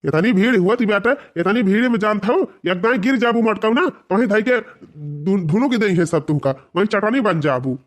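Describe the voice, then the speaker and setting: A man's voice: high-pitched, animated, moderate pace. A man voicing a stubborn, dismissive village husband in a comedy cartoon, grumbling in an Awadhi accent.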